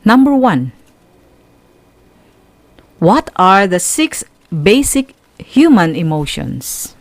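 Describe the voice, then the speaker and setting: A woman with a Filipino accent giving an instructional radio lesson in a deliberate, teacherly way. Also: mid-range voice, sing-song, no echo.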